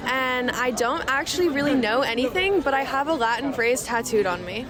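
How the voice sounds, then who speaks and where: A woman's voice: sits high, lively intonation, normal speed, crowd noise. A woman student chatting offhandedly as an interviewee. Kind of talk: casual chat.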